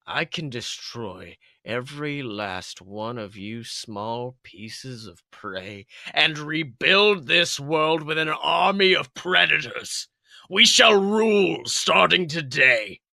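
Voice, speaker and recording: A man voicing a scarred old wolf villain in a story, menacing and grandiose, making slow theatrical speeches. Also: mid-range voice, vocal fry, sharp tone, dry recording, studio quality, pitched below the performer's own voice, full character voice. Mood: sinister, triumphant. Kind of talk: dramatic storytelling character voice.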